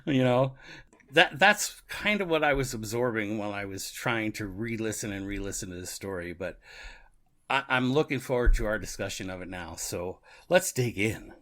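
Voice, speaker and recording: A man's voice: lowish voice, lively intonation, normal speed, bright, forceful tone. A man co-hosting a podcast, chatting casually and agreeably, often affirming what is said. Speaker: podcast co-host. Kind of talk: casual chat.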